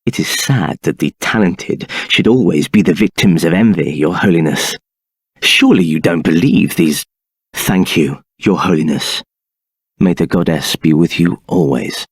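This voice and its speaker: A man's voice: sits low, unhurried, slightly rough, no echo, studio quality, heavily acted. An actor playing a scheming, arrogant male templar captain in a video game, speaking in a haughty, condescending manner. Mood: smooth, deferential.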